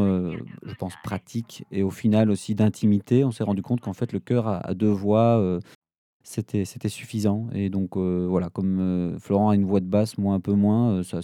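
A man speaks in a very mellow, dark, low voice. He explains his creative work in an interview, pausing and restarting in halting phrases. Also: no room sound. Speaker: sound designer, musician.

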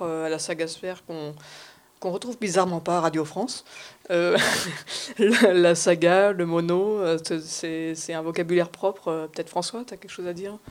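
Lowish-voiced female co-host. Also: a little mellow. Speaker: panel co-host. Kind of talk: casual panel discussion.

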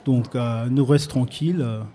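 An American man answering interview questions in halting French, with many pauses and restarts, at a public recording. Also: mid-range voice, mellow, breathy edge. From United States. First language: English. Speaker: guest artist. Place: public recording at an arts space.

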